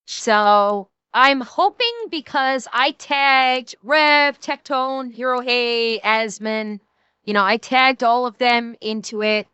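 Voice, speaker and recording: A woman's voice: on the high side, slow, bright, forceful tone, smooth, dry recording, lo-fi sound. A young woman streaming live, talking indignantly to her chat and swearing as she answers viewers.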